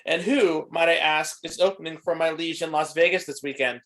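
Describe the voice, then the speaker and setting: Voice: medium pitch, steady tone, brisk, a bit bright, close to an everyday voice. A deferential henchman, voiced by a performer in a dramatic script reading. The manner is insinuating and servile toward a villainous master. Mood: deferential, sly. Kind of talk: dramatic script read-through.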